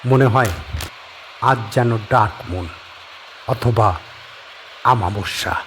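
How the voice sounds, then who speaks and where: A man narrating a horror audio story in a measured storytelling manner. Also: medium pitch, fairly bright tone, a little hiss or hum.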